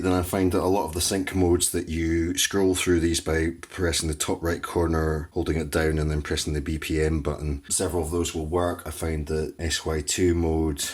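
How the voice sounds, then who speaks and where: Very deep, husky male gear demonstrator. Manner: methodical, matter-of-fact. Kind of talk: explanatory tutorial talk.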